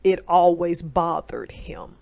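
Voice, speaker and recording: A woman's voice: lowish voice, slow pace, creaky, darkish tone. A woman, giving a casual, emphatic commentary monologue. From North Alabama, United States. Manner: emphatic, conversational, digressive. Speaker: commentator, military veteran.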